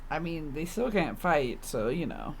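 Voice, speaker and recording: A woman doing casual live game commentary. Her voice is very low and creaky, with lively swings in pitch. She is playful, throwing in little sing-song asides. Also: a little slow, crowd noise, rough recording. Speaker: game streamer.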